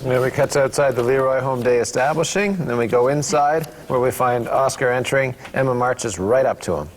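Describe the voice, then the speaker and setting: A man's voice: medium pitch, a little fast. Man reading stage directions, echoey noisy room. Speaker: script reader at a cast table read. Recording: echoey room with clear background noise.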